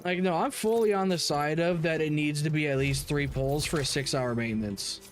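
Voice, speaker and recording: A man's voice: fairly high pitch, moderate pace, soft background music. A man chatting casually while streaming a game, swearing and using plenty of slang. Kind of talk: casual livestream chat while gaming.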